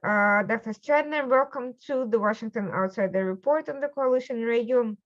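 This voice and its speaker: A woman hosts the show and introduces her guest in measured, formal tones. Her voice sits a little high. The audio is slightly muffled and lo-fi. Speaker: host and editor-in-chief.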